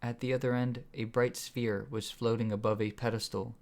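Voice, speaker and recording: A man's voice: medium pitch, little pitch movement, normal speed, darkish tone. A man reading his own story as scripted audiobook narration.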